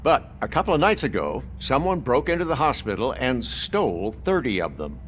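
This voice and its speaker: Man playing a droll, lively morgue worker. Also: mid-range voice, moderate pace, a little hiss or hum, natural voice. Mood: wry, chatty. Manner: droll, dryly morbid chattiness. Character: wry, talkative male hospital morgue worker. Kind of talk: video game voice acting.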